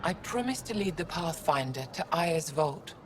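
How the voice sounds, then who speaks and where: A woman playing a dignified elder alien scientist and spiritual leader in a video game, speaking in a measured, formal way. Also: sits low, lively intonation, noisy background. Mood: solemn. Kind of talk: dramatic video game acting.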